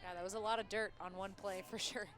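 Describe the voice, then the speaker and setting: A woman giving color commentary and analysis on a softball broadcast. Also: medium pitch, steady tone, fast talker.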